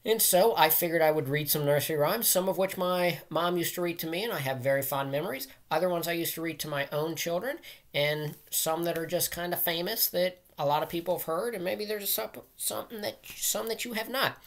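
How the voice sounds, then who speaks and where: A man's voice: on the high side, a little fast. A middle-aged man speaking simply and directly to young listeners, as a teacher does in a casual read-aloud. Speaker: teacher reading aloud to children. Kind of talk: casual read-aloud of nursery rhymes for children. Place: small room at home, indoors.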